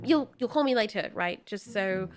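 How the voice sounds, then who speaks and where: A woman's voice: medium pitch, animated, normal speed, faint music behind, lightly acted. A woman voicing a flirty, awkward female wyvern monster in an audio story, with a perky manner. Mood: hopeful, flirty. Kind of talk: amateur audio drama dialogue.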